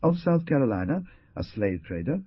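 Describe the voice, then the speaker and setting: A man voicing a calm, kindly hunter who explains things in a measured way. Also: mid-range voice, husky, no echo, pitched above the performer's own voice. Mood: explaining. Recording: dull lo-fi recording.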